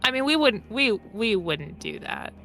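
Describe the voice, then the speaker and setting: Bright, creaky-voiced woman playing a tabletop game. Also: fairly high pitch, very animated, a little slow. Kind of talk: casual game table banter. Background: faint music.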